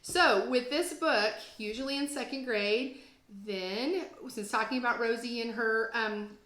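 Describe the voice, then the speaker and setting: A woman's voice: on the high side, a little slow, fairly bright tone, reverberant, rough recording. A woman who teaches second grade, reading and explaining things to children in an encouraging, self-deprecating way. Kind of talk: read-aloud storytelling and casual explaining for children.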